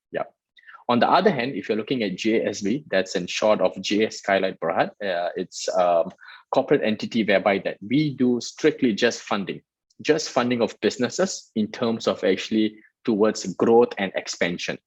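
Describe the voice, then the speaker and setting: A man's voice: on the low side, breathy edge, dry recording, poor audio. A man from Malaysia, a guest in an interview, explaining his work at length in an earnest way with many restarts. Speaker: guest, startup festival director. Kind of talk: interview answers.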